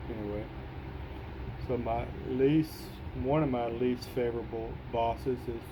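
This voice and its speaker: A middle-aged man with a strong East Texas accent speaks slowly and in a halting way, filling the pauses with ums and uhs. His pitch stays even and level throughout. A noticeable air-conditioning hum runs under the recording. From East Texas, USA. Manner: slow, halting, with many fillers and pauses. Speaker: city senior inspector. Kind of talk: reflective personal recording answering prompts.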